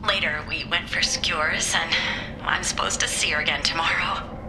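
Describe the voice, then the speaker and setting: A woman's voice: sits low, steady tone, normal speed, noisy background, close to an everyday voice. An actress playing an infatuated, insecure young woman in a video game, confiding dreamily in her diary. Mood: dreamy excitement.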